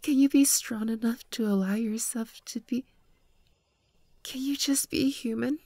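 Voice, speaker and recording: A woman voicing a wounded young female marine in an anime fan dub, weak, soft and halting, often slipping into a whisper. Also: on the high side, steady tone, no echo. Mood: reproachful, pleading. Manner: soft, breathy, halting delivery with whispered phrases. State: weak from injury.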